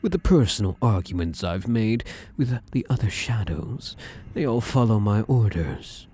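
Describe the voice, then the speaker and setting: A man voicing a husky, menacing shadow spirit. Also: fairly low pitch, lively intonation, mellow. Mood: possessive. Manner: slow, menacing, drifts into whispers. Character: possessive domineering male shadow spirit. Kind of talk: ASMR roleplay.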